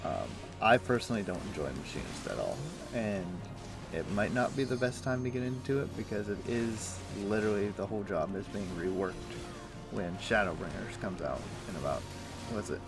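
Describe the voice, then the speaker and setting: Laid-back low-voiced male streamer. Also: normal speed. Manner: relaxed, rambling, many fillers and restarts. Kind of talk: casual gameplay chat. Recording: music playing behind voice. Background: music.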